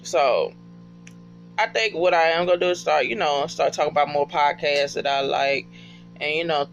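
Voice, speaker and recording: A man's voice: sits high, creaky, a bit bright, highs cut off. A man chatting casually and off the cuff, slangy and profane, with emphatic repeated phrases. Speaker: podcast fan giving recommendations. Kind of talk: casual, unscripted chat.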